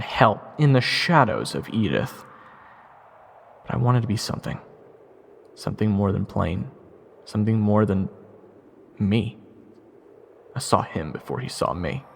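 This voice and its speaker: A man's voice: lowish voice, vocal fry, slight background noise. A man playing a wry, self-deprecating young soldier narrating his fantasy adventure in first person. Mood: reflective.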